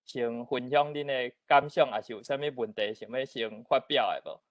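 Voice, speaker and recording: A man's voice: mid-range voice, moderate pace, no room sound. A man with a Taiwanese accent informally moderating a discussion and inviting others to speak.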